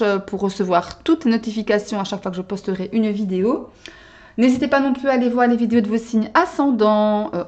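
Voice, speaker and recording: A woman's voice: a little high, a little mellow, highs cut off. A woman giving a casual tarot reading to her viewers, chatty and full of little checks like "hein" and "d'accord?". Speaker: tarot card reader. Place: Belgium.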